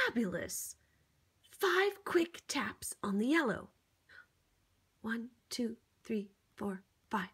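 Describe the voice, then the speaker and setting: Female children's storybook reader, high voice, very slow delivery, theatrical and encouraging, talks straight to the child. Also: sing-song, a bit bright, breathy edge. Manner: theatrical, with exaggerated gasps and exclamations; encouraging, coaxing, addressing the child listener. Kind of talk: interactive picture-book read-aloud for young children.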